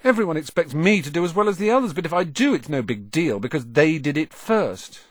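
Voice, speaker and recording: A man plays a young wizard boy in an audiobook. He is eager but down on himself, and grumbles and exclaims. His pitch is lifted and swings about in a dry, close sound. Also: big pitch swings, brisk, dry recording. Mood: gloomy, grumbling. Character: eager, self-deprecating young wizard boy from big family. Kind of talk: audiobook character dialogue.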